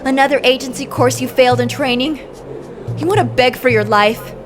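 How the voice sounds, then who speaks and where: Lady acting tough sick spy from a video game, impatient and threatening, highish voice, music behind. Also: fairly quick, lightly acted. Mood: menacing, impatient. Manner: hard-edged, threatening. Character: tough, impatient, ailing female secret agent.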